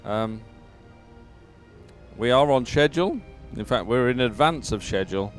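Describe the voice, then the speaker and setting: A man giving live sports commentary as an event announcer, in a measured, hesitant way with frequent pauses. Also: mid-range voice, expressive, faint music behind.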